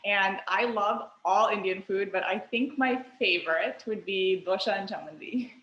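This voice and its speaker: A woman's voice: medium pitch, moderate pace, fairly bright tone. An American woman from New Jersey introducing herself conversationally as a panelist. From United States, New Jersey. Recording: echoey, dull lo-fi sound.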